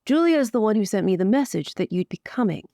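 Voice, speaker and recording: A woman's voice: medium pitch, sing-song, quick pace, mellow, dry recording, studio quality. A woman playing a wry, resigned noblewoman in an improvised roleplay, with a sardonic delivery. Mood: curious.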